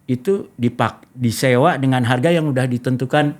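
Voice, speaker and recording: A middle-aged man speaks at a medium pitch. He talks in a casual, discursive way, laying out his explanation step by step as a talk show host would. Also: moderate pace.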